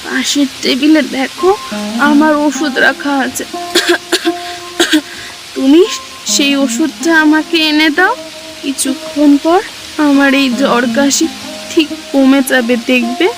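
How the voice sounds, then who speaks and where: A woman playing a gentle, anxious, ailing village wife and mother in a Bengali cartoon story. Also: high-pitched, takes it slowly, pauses often, over music, poor audio. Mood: weakly reassuring.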